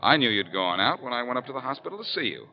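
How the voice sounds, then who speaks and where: A noisy, muffled old radio recording. a confident detective hero of 1940s radio drama, wisecracking and assured, talking very quickly in a medium-pitched voice. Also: male voice, very animated. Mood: accusing, assured. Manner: brisk, assured, teasing.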